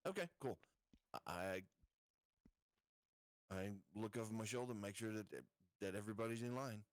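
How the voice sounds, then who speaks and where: A man's voice: lowish voice, moderate pace, no echo, clean studio sound. A man playing in a tabletop game, chatting casually as he asks questions and calls his moves. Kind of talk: casual chat during a game.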